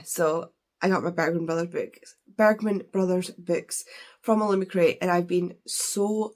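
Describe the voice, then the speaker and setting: Hoarse congested woman chatting. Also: mid-range voice, darkish tone. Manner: chatty, rambling with fillers and restarts. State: congested, losing her voice from a cold. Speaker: book vlogger. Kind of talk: casual unboxing chat.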